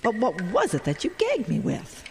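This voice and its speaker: A woman's voice: a little high, huge pitch swings, normal speed, a little mellow, faint music behind. Swooping, ditzy, chipper mother. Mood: politely oblivious. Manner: airy, oblivious, chipper. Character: ditzy, cheerful, trusting middle-aged mother. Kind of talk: comedic audio drama acting. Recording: hollow reverberant room, clearly noisy.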